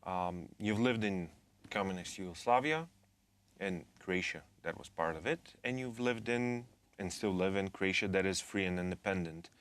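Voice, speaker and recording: A man interviewing a guest, asking measured, probing questions. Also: deep voice, a bit bright.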